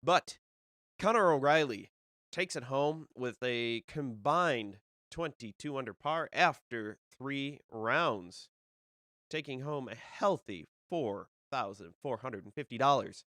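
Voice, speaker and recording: A young man talks on his own as the host of a casual show, rambling and restarting his sentences. He sounds a bit nasal from a cold. His voice is smooth and resonant, with a dry, close sound and no room echo. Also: medium pitch, expressive, takes it slowly, sharp tone. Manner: rambling, with many fillers and restarts. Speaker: disc golf podcast host. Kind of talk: casual solo live-stream talk.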